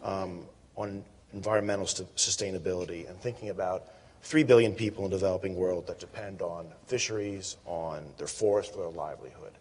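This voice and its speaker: Husky male moderator in a reverberant hall. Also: deep voice, steady tone, fairly quick, darkish tone. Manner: polite, directive, with fillers. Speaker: panel moderator. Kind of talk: moderating a panel. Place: conference hall.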